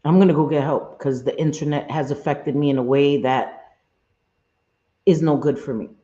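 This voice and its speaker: A woman with a husky smoker's voice hosting a live stream, talking bluntly and earnestly, often repeating herself, with some salty language. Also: sits low, fairly even intonation, moderate pace, dark tone. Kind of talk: candid livestream chat, reading chat comments. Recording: muffled roomy home stream audio.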